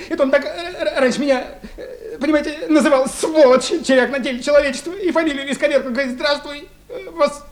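A man playing a cowardly, breathless, stammering informer in a radio drama, whining and repeating himself in jumbles. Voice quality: stammering, high-pitched, breathless. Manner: frantic, whining, jumbled repetitions. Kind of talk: Soviet radio drama acting. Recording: noisy, echoey vintage radio play recording.

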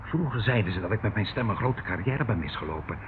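An actor in a radio play playing a pompously cultured, patronising uncle who dotes falsely and evades every question. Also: male voice, on the low side, expressive, fast, lightly acted. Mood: boastful, wistful. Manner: theatrical, patronising, rambling, chuckling. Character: unctuous, evasive uncle captor, pompously cultured, falsely doting. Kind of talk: Dutch radio play acting. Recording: tinny, hissy old radio play recording.

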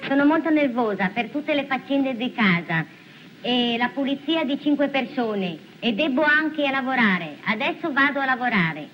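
A woman's voice: high-pitched, expressive, normal speed, lo-fi sound, faint music behind. A working woman giving a plain personal account in an archive interview recording.

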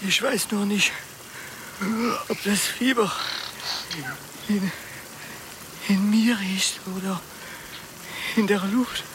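Very slow, feverish companion. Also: male voice, high voice, steady tone, noisy background. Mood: feverish, uneasy. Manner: halting, hesitant, broken phrases. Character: feverish, uneasy expedition companion. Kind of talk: German radio play acting.

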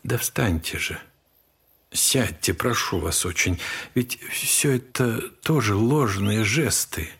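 A slow, gravelly old monastery elder. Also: male voice, on the low side, lively intonation, slight background noise, heavily acted. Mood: gentle, courteous. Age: older. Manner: measured, soft, consoling. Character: gentle, ailing old monastery elder, wise and humble. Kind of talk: Russian audio drama acting.

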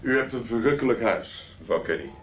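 An actor playing a calm, blunt yet courteous private investigator in a Dutch radio drama, speaking in a measured, direct way. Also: male voice, medium pitch, a little hiss or hum, natural voice. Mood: courteous, guarded. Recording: narrow, tinny phone-like old radio recording.

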